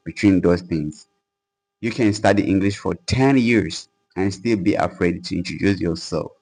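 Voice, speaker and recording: A man's voice: deep voice, rough recording. Earnest young man, English. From Portuguese-speaking country. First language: Portuguese. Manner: earnest, casual with "bro" and fillers. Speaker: English-learning YouTuber. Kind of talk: motivational talk to camera.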